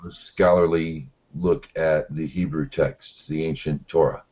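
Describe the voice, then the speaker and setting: A man's voice: a bass voice, steady tone, a little slow, darkish tone, breathy edge. A man hosting a casual online group discussion, rambling and unsure of himself, with many restarts. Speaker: host. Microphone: video call. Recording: dull lo-fi online call audio.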